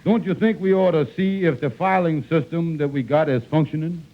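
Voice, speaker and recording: An actor playing a wheedling, folksy hustler who runs an employment agency, hemming and hawing in a 1940s radio comedy. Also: male voice, on the high side, moderate pace, natural voice. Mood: pleased, scheming. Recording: muffled, noisy 1940s radio recording.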